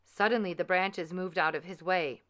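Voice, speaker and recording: A woman reading a children's storybook aloud in an expressive, measured, dramatic way. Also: on the low side.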